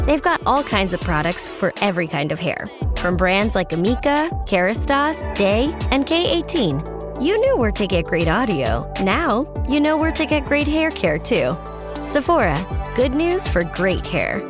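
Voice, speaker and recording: Female ad announcer, upbeat and lively intonation, over a music bed. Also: medium pitch. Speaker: advertisement announcer. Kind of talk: upbeat scripted ad read.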